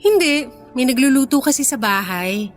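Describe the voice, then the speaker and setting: An actress playing a young woman in a radio drama, speaking in natural, expressive dialogue. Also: sits high, normal speed. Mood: light, shy. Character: apologetic young woman, grateful but fiery when provoked. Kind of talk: radio drama acting, conversational. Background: music bed.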